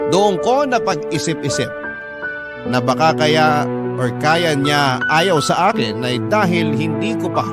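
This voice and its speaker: A man's voice: mid-range voice, natural voice. An actor playing a regretful young father narrating his story in a radio drama, with a Filipino accent. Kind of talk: scripted first-person drama narration. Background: loud music.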